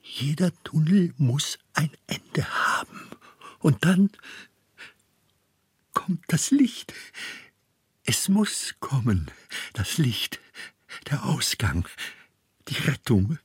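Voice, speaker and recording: Heiser flüsternder, ängstlicher Mann. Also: male voice, a little high, big pitch swings, no echo, heavily acted. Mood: urgent, self-coaxing. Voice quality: breathy, husky half-whisper. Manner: halting, clipped fragments. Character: anxious man groping through total darkness, thinking aloud. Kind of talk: intimate radio drama monologue.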